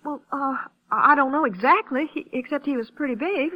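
A woman's voice: sits high, moderate pace, dull audio, natural voice. An actress playing a nervous, defensive young small-town American woman in a 1950s radio drama, flustered and insistent. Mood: hesitant, uneasy. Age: young adult. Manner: flustered, hesitant, then insistent. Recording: vintage 1950s radio broadcast recording.

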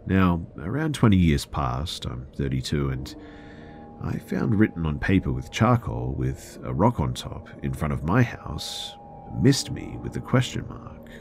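A man's voice: very deep voice, very animated, measured pace, a little mellow, slight background noise. A man narrating first-person scary stories in a conversational style.

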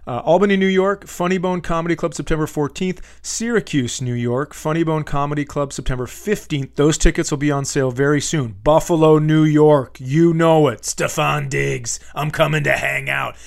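A man's voice: on the high side, steady tone, moderate pace, vocal fry, few pauses. An American man, a comedian and podcast host, talking in a casual, rambling, joking and sometimes profane way.

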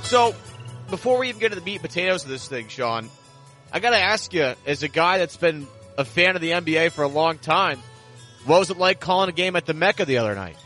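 A man's voice: fairly high pitch, expressive, fast talker, pushed and bright, faint music behind. A man hosting a sports podcast, talking in a conversational, wordy way as he introduces and interviews. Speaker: podcast host.

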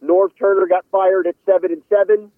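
Male sports reporter guest on a phone-like line, middle-aged, slightly high voice, casual analysis. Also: little pitch movement, brisk, no room sound. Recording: tinny narrow phone-like line.

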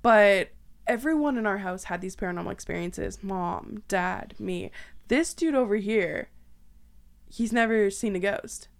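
A young woman with a Canadian accent hosts a relaxed, conversational podcast. Her voice sits at an ordinary middle pitch for a woman. She tells anecdotes informally and slips into acting out what others said. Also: measured pace, gravelly. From southwestern Ontario, Canada. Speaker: podcast host. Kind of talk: casual chat, telling family anecdotes.

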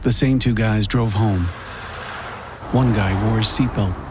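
A man reading a safety announcement in a measured, dramatic narrating style. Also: lowish voice, steady tone, noisy background. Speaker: public service announcer. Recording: loud music and sound effects under voice. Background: loud music, siren, vehicle sounds.

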